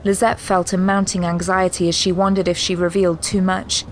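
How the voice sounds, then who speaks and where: A woman's voice: on the low side, little pitch movement, brisk, a little hiss or hum, low-quality recording. A woman narrator reading scripted dramatic narration for an audio drama.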